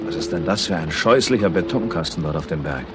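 An actor playing a grumbling, sceptical male traveller in a German radio play, gruff and sarcastic. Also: low-pitched, expressive, a little fast. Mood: sardonic. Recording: 1960s radio play with loud background noise.

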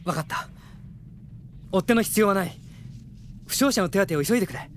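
A man playing a gentle, courteous young heroic prince in a radio drama, sincere and earnest. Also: high voice, animated, a little fast, slight background noise. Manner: sincere, clear-spoken, courteous.

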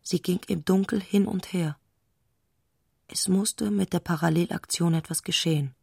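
Female radio play narrator: low-pitched, gravelly, mellow, unhurried literary reading. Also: fairly even intonation, dry recording, clean studio sound.

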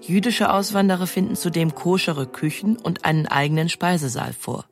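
A woman's voice: fairly low pitch, moderate pace, soft background music. A woman reads scripted documentary narration as a narrator, in pronunciation.

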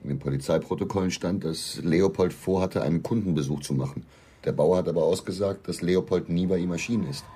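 A man's voice: low voice, a little fast, dark tone, breathy edge. A man in a German radio drama playing a methodical police investigator, sober, probing and matter-of-fact.

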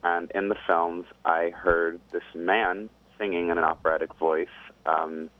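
Low-voiced man on a tinny line. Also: little pitch movement, measured pace. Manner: thoughtful, rambling, laughs easily. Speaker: guest singer. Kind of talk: casual interview chat.

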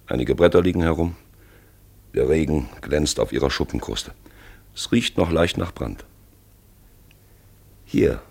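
A man playing a brooding, probing narrator in a 1950s radio play, with emphatic phrases and hushed asides. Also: a bass voice, full character voice. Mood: grave. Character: brooding male narrator-guide, probing and dramatic. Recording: husky-sounding 1950s radio recording.

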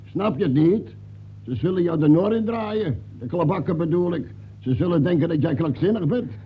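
A man playing a rough, cunning crook from a manuscript in a radio play, slangy and mockingly polite, with a gravelly, husky voice. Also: on the high side, lively intonation, normal speed. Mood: urgent, persuasive. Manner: slangy underworld talk, insolent mock politeness. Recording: muffled, phone-like old radio recording.

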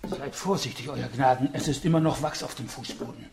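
An actor playing a cautious, deferential house servant in a radio crime play, with a creaky voice in an echoey room. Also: male voice, mid-range voice, brisk, a little mellow, natural voice. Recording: echoey room, faint noise.

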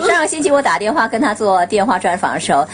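A woman hosting a casual group chat, talking in an expressive way full of warm exclamations. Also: mid-range voice, fast talker, a loud crowd. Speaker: host.

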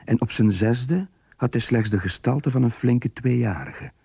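A man reading scripted radio drama narration in a measured, literary way. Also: mid-range voice, vocal fry, mellow, slightly rough, no echo, studio quality.

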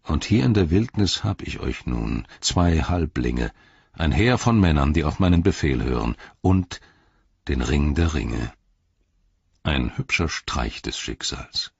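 A man voicing a grave, stern captain of men who speaks slowly, quietly and formally in a storybook reading. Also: a bass voice, animated, vocal fry, husky, full character voice. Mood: ominous, ironic. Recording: slightly dull, roomy sound.

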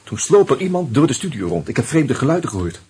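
An actor playing an uneasy murder suspect in a radio play, musing and hesitant, with a wry streak. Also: male voice, medium pitch, very animated, fast talker, warm tone, husky, heavily acted. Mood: wary, hesitant. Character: uneasy male murder suspect narrating his own investigation. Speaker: actor and first-person narrator. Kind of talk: Dutch radio play, reflective narration and dialogue.

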